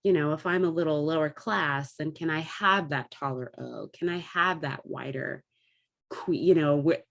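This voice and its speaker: A woman's voice: low-pitched, normal speed, creaky, a bit bright. A woman talking casually and enthusiastically, like a theatre director thinking aloud about performance. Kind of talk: casual discussion of theatre craft.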